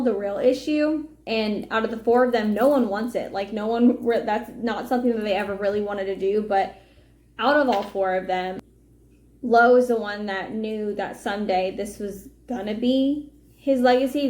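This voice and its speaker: A woman's voice: mid-range voice, a little mellow. A woman vlogger talking through a book's plot in a candid, rambling way, often restarting mid-sentence. The room around her rings with noticeable echo. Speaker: book vlogger. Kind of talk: casual commentary on a novel.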